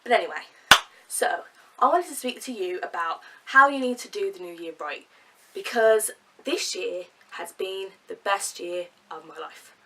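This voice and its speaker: Young woman with a cold, stuffy nasal voice, mid pitch, talks to cam from a bit far off. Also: measured pace, a bit bright, slight background noise. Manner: chatty, earnest, with fillers and laughs. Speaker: vlogger. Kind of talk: casual talk to camera.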